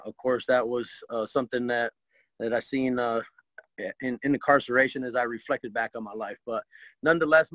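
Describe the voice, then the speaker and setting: A man from Michigan, telling his life story as an interview guest, hesitant, with many pauses and restarts. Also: mid-range voice, a bit bright, dry recording, rough recording. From Michigan, USA. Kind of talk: casual spoken life story.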